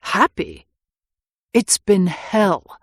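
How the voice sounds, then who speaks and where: A woman voicing an anguished young man on the run, who swings from vehement outbursts to hushed, tender pleading in a husky, breathy voice. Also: on the low side, slow pace, no room sound, clean studio sound, full character voice. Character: anguished young man, hunted fugitive, passionate and brooding.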